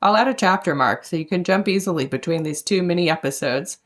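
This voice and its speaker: A woman's voice: on the low side, quick pace. A woman hosting a podcast, giving prepared introductions and putting interview questions to a guest. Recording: roomy, echoing sound.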